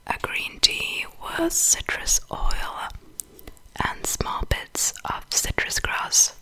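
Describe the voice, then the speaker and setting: A young woman whispers with a Swedish accent, every word breathy and unvoiced. Her delivery is slow and deliberate. The whisper sounds bright and airy, sliding up and down in a lively way. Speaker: ASMR creator. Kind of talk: ASMR whispering.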